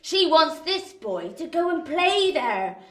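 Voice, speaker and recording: A woman acting a bossy, scolding wife in a radio drama. Her voice is high and her manner sharp, sarcastic and nagging. It rings in a roomy space. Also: sing-song, slow pace, heavily acted. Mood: scornful. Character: shrewish, scolding, domineering working-class wife.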